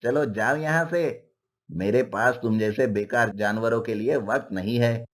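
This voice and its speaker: A man voicing an arrogant, haughty horse in an animated moral story. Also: medium pitch, a little slow, natural voice. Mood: scornful, annoyed. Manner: haughty, dismissive. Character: arrogant, scornful male horse who looks down on others.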